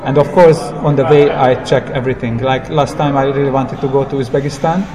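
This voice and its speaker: Man, non-native English accent, medium pitch, reflective, halting simple phrasing, casual interview storytelling, audible background ambience. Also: fairly even intonation. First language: not English. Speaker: interview guest, long-term hitchhiking traveller. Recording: clear background ambient noise.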